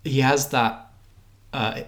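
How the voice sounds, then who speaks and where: A man's voice: mid-range voice, steady tone, takes it slowly, a bit bright. A man co-hosting a podcast, giving a casual film review in a hesitant way, circling and correcting his phrasing. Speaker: podcast co-host reviewing films.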